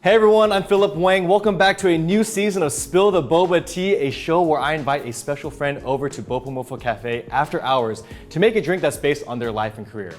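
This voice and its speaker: A man's voice: fairly high pitch, brisk, hardly any pauses, faint music behind. A man hosting a casual interview show, talking with an upbeat, enthusiastic manner in a cafe after hours. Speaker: host.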